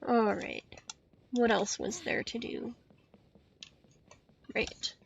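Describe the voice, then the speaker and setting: A woman game streamer giving casual, halting live commentary in muttered asides. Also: a little high, expressive, creaky. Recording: dull lo-fi mic, faint noise, clicks.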